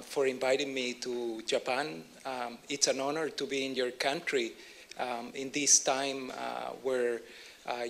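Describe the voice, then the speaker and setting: A man from Chile with a Chilean Spanish accent, giving a conference keynote in a measured way with many pauses and fillers. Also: medium pitch, gravelly, a bit bright, a little hiss or hum. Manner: measured, filler-heavy delivery. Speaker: keynote speaker.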